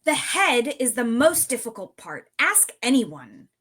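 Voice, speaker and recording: A woman's voice: on the high side, very animated, unhurried, fairly bright tone, heavily acted. A woman playing an earnest grade-school girl in a live table read of a holiday cartoon. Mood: matter-of-fact.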